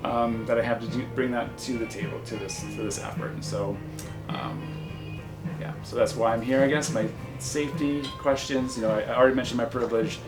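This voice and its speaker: A man with an American accent speaks at a community meeting, feeling his way through a personal account. His delivery is halting and reflective, at a middling pitch. Music plays clearly behind him. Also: fairly even intonation, poor audio. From United States, Michigan.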